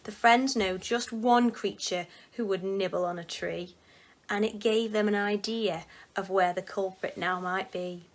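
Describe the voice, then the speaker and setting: A woman storyteller reads in rhyme for children at a medium pitch. Her delivery is expressive and measured like a read-aloud picture book. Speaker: school staff member, storyteller.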